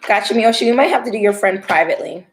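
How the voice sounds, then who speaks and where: A young woman hosting a live stream, chatting casually and reading tarot cards, her voice going hoarse. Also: medium pitch, expressive, fast, background noise. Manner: chatty, laughs often, swears casually.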